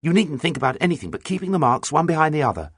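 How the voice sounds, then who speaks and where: Man playing a brisk, commanding boy sailor captain. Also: fairly high pitch, sing-song, husky, no echo, studio quality, voice pushed up, exaggerated voice. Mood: encouraging. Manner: commanding, calling out nautical orders. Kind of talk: audiobook character dialogue.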